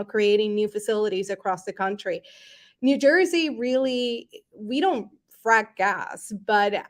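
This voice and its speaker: A woman from Puerto Rico, a chapter director for an environmental group, speaking with upbeat energy from a city office to a virtual rally. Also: fairly high pitch. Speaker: Sierra Club chapter director.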